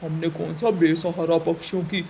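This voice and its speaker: A woman's voice: lowish voice, flat delivery, normal speed, vocal fry, heavy background noise, pitched below the performer's own voice. A woman voicing a rude, mocking male crow in a cartoon story, sneering and brusque. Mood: mocking scorn.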